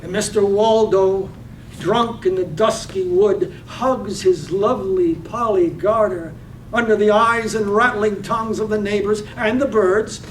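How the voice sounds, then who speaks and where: A man playing the lyrical, measured narrator in a staged reading of a poetic radio drama. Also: high voice, fairly even intonation. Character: lyrical, measured storyteller of a night-time town. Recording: roomy, distant mic, faint noise.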